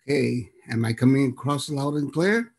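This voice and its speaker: An older American man shares memories informally on a video call. He has an average-pitched voice and a rambling, halting delivery, often repeating words as he gathers his thoughts. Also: animated, creaky, slightly rough. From San Francisco, United States. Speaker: guest speaker, artist and storyteller. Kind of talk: informal reminiscing.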